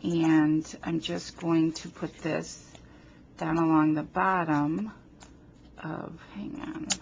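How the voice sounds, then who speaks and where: A woman's voice: low voice, little pitch movement, takes it slowly, creaky, darkish tone, lo-fi sound, slight background noise. A woman from New Jersey hosting a casual card-making tutorial, chatting and joking about herself while she works, sniffling a little. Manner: chatty, self-deprecating, talks while working. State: sniffling from a runny nose. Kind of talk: casual craft demonstration. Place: home craft table, indoors. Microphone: camera on tripod above the table.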